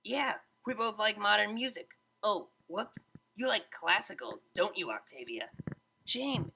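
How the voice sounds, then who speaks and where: A woman's voice: mid-range voice, expressive, unhurried, gravelly, sharp tone, slightly rough, pitched above the performer's own voice, exaggerated voice. A woman voicing a brash, hot-tempered young unicorn DJ pony in a story, slangy, mocking and confrontational.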